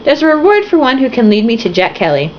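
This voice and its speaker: A woman's voice: fairly high pitch, big pitch swings, fast talker, slight background noise. A woman voicing a sinister man hunting a runaway boy, cold and formal, in a storybook reading on a slightly muffled home recording. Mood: menacing.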